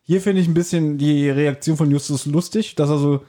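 Guy podcast host, kinda high but soft dark tone, husky, just chatting through a story. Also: fairly even intonation, a little fast. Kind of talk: casual chat, retelling an audio drama.

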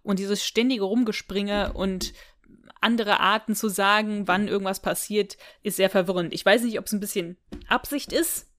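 A woman's voice: medium pitch, steady tone, moderate pace, a bit bright. Woman casually talking through a story. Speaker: podcast co-host. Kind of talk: casual discussion of an audio drama.

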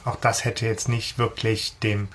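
A man's voice: fairly low pitch, little pitch movement, a little slow, a bit bright, faint music behind. Conversational man, podcast co-host. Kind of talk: casual fan podcast discussion.

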